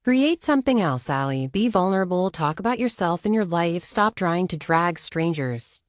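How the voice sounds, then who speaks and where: Woman playing a low-voiced, firm, fed-up woman. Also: lively intonation, moderate pace, no echo, studio quality, close to an everyday voice. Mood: composed, dismissive. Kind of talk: dramatic reading of a text exchange.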